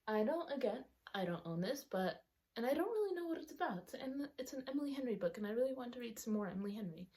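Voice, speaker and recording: A woman's voice: a little high, animated, fast talker, warm tone. Chatty young woman talking through a retainer. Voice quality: slightly altered by a retainer. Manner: rambling, self-correcting, with many ums. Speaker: book vlogger. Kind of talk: casual chatty vlog. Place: outdoors or by a sunny window at home.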